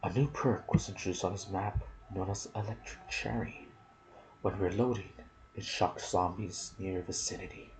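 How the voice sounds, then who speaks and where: A soft-spoken man hosting an ASMR gaming video, reading trivia with casual asides and sometimes dropping into a whisper. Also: deep voice, vocal fry, slight background noise, poor audio.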